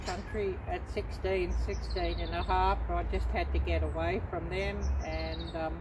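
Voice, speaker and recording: A middle-aged woman from Australia hosting a casual livestream, chatting unhurriedly to viewers with many pauses and ums. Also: on the low side, level tone, background noise. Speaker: livestream host.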